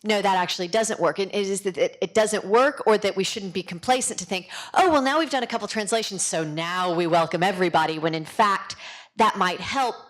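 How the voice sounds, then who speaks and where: A woman's voice: medium pitch, a little fast. A woman in an audience asking a spontaneous, exploratory question, thinking it through aloud.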